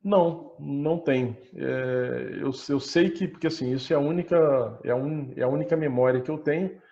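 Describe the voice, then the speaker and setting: A man's voice: medium pitch, normal speed, gravelly, warm tone. A man giving a casual personal account, hesitant, repeating words with a mild stutter. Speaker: coaching client. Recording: dull lo-fi online call audio.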